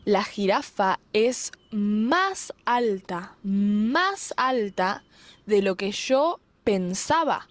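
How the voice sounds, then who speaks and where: A woman with an Argentine accent speaking slowly and clearly to children. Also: medium pitch, expressive, no room sound, soft background music. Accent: Argentine Spanish.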